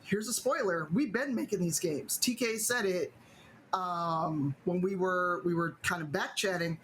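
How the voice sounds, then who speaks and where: A woman's voice: lowish voice, unhurried, a bit bright, a little hiss or hum, rough recording. Wry middle-aged woman on a panel. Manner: conversational, wry, builds points with asides. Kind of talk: panel discussion.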